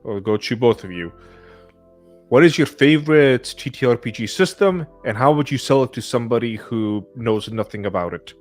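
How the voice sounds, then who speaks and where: A man's voice: medium pitch, expressive, normal speed. A man with a mid-range voice hosts a podcast discussion. He moderates in a casual, conversational style. Faint music sits behind his voice. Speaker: podcast host.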